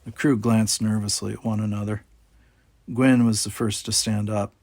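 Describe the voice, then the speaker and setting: A man's voice: lowish voice, level tone, moderate pace, a little mellow, no room sound. A man reading a children's sci-fi story aloud as scripted audiobook narration. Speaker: audiobook reader.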